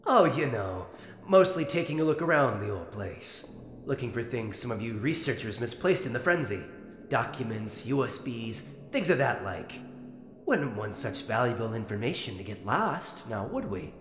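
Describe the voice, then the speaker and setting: A man's voice: medium pitch, big pitch swings, moderate pace, fairly bright tone. A man voicing a smug, bitter mutant sea-creature prisoner in a comic dub, with a theatrical, mocking, sarcastic drawl. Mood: smug, sly. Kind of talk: scripted comic dub voice acting. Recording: reverberant room sound, music underneath.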